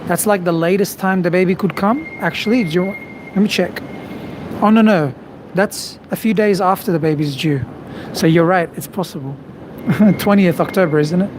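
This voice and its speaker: A man's voice: sits high, moderate pace. A man, a vocal coach, chatting relaxedly on a live stream and answering viewer questions. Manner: relaxed, chatty, thinking aloud with fillers. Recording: noisy background.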